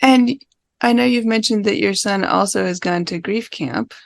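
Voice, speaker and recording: Creaky-voiced woman interviewing casually. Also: mid-range voice, moderate pace, no echo. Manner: frequent listening murmurs, informal questions. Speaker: podcast host, interviewer.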